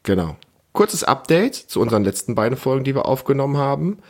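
A man's voice: mid-range voice, sing-song, fast, gravelly, a little mellow. A man in his thirties or forties hosting a casual family podcast, chatting encouragingly and explaining things simply to a child. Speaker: podcast host.

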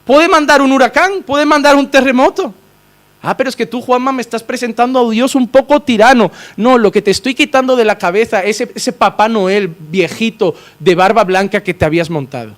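Man, pastor, high-pitched, fiery sermon, loud and soft turns. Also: fairly quick. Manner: impassioned preaching, swings between shouting and low quiet asides.

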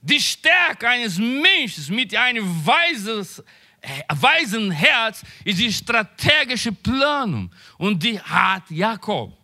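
A man preaching in a high, bright, forceful voice that swoops through a wide range. He delivers a sermon slowly and emphatically, drops into quieter asides, and often pauses mid-phrase to search for a word. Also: very animated. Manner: halting, searches for words. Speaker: pastor.

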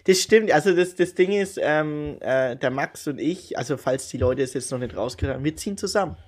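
Podcast co-host, male, lively and laughing, addresses the audience directly. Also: on the high side, a little fast. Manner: animated, laughing, addresses listeners. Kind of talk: casual chat. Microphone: home podcast mic.

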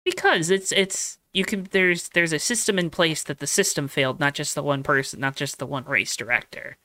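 A man's voice: on the high side, normal speed, sharp tone, dry recording. A man co-hosting a casual racing podcast, rambling along with frequent ums and restarts. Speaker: podcast co-host. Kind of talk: casual motorsport chat.

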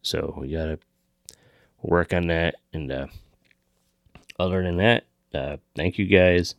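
A man's voice: deep voice, slow, gravelly, no room sound. A man co-hosting a podcast, chatting casually and rambling with some swearing. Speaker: co-host of a Halloween podcast. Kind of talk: casual chat, signing off a show.

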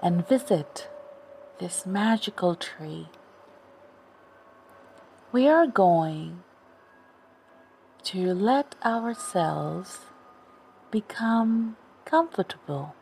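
Very slow, soothing woman narrating sleep meditation. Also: medium pitch, lively intonation, creaky, a little hiss or hum. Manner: soothing, gentle, unhurried with long pauses. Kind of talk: guided sleep meditation storytelling. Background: background music.

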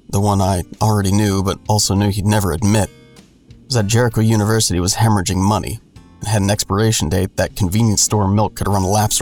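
A man playing a grown son who narrates an audio drama in a sardonic, colloquial, deadpan way. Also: on the low side, lightly acted. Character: wry adult son narrating his own hard-boiled story. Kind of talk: first-person audio drama narration with dialogue.

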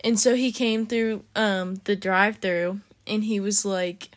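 A young woman chatting casually with a friend about her job. She talks in a loose, rambling way, full of "like" and restarts. Her voice sits at an ordinary middle pitch for a woman. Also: steady tone, a little slow. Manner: chatty, lots of "like", acts out quoted voices. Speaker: podcast co-host, drive-thru worker. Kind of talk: casual chat.

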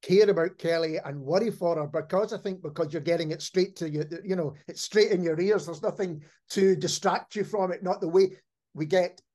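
A man's voice: sits high, normal speed, a little mellow. Man in his fifties, casual podcast host. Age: 50s. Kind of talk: casual conversational interviewing.

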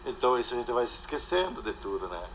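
A man's voice: mid-range voice, sing-song, fast, fairly bright tone, a little hiss or hum. An older man, a guest speaking casually and hesitantly, often trailing off with a questioning tag. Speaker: interview guest. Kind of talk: casual conversation. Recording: faint background ambience.

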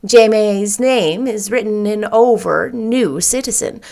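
A woman reading an audiobook, voicing a brisk, chirpy little woman near fifty who speaks emphatically. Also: medium pitch, gravelly, darkish tone.

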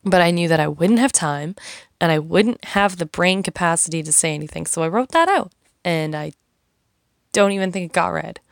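A woman's voice: lowish voice, normal speed, gravelly, dry recording, studio quality. A young woman talking casually and frankly, rambling and swearing as she vents. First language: English. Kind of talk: casual monologue, venting.

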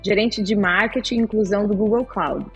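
A woman's voice: mid-range voice, fairly even intonation, brisk, slightly rough, soft background music. A woman hosting an interview podcast from home, enthusiastic and welcoming. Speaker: podcast host.

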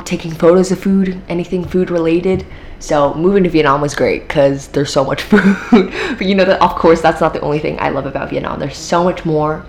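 A woman's voice: lowish voice, slight background noise. A teenage woman with an American accent hosting her own podcast, talking casually with lots of fillers and an upbeat, chatty manner. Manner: chatty, filler-heavy, upbeat with frequent laughs. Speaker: podcast host. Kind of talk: casual solo podcast talk.

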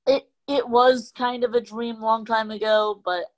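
Woman, cantonese first language, kinda high voice, halting casual chat as a guest. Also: little pitch movement. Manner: hesitant, halting. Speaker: guest, channel creator. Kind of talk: casual conversation.

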